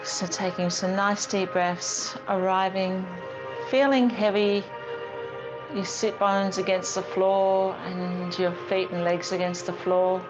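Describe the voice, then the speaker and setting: A woman leading a gentle yoga class as the teacher, guiding with a calm, soothing, gently instructing manner. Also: mid-range voice, slow pace, music in the background, poor audio.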